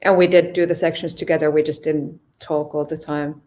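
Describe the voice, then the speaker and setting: A woman from Finland with a lowish voice talks through her travels at an easy pace. She often stops and restarts her sentences as she searches for words. It sounds like relaxed podcast conversation. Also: brisk. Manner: hesitant, many restarts, thoughtful. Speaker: podcast guest, long-distance cyclist. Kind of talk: casual interview chat.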